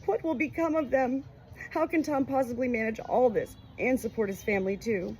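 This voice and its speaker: A woman plays an older father-in-law in an audiobook, loving and broken by grief. The voice is raised high and pleads in an overwrought way, with clear noise behind it. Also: normal speed, darkish tone, lightly acted, rough recording. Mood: anguished, fearful.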